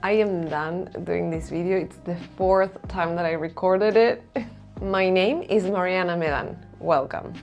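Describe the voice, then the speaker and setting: A woman's voice: lowish voice, unhurried, a little mellow, dull audio, faint music behind. A woman from Argentina, a native Spanish speaker with an Argentine accent, gives a candid, emphatic personal self-help talk as host of her own channel.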